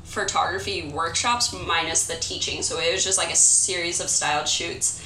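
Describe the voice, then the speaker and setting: A young woman co-hosting a casual podcast, with a lowish yet bright voice. She chats in a relaxed way and laughs easily. The room sounds echoey, with a faint hiss underneath. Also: moderate pace. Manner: relaxed, chatty, laughs often, says "like" a lot. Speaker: podcast co-host. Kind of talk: casual chat.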